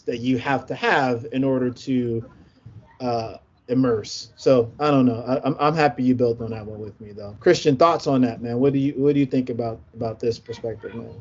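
A man hosting a casual panel discussion, speaking haltingly and repeating words before handing the floor to a guest. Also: medium pitch, a little mellow, dull audio. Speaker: panel host.

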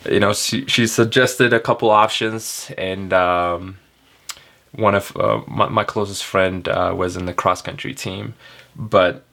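A man in his twenties speaks in a low voice with plenty of creak. He is an interview guest from Ethiopia, chatting casually and haltingly, with frequent fillers. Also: steady tone, bright, forceful tone. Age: 20s. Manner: halting, hesitant delivery with frequent filler words. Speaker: elite runner, interview guest. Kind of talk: casual chat.

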